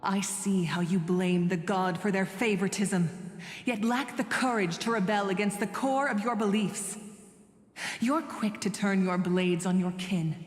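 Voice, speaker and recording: A woman playing a resolute, compassionate exiled knight in a fantasy game, speaking in a grave, measured, declamatory way. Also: medium pitch, close to an everyday voice. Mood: solemn, defiant. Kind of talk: theatrical fantasy game voice acting. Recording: echoey hall reverb with music.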